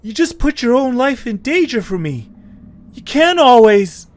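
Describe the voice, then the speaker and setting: A man playing a young male adventurer in an amateur audio drama, gentle, forgiving, hesitant and earnest. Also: very high-pitched, very animated, a little slow, heavily acted. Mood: grateful, concerned.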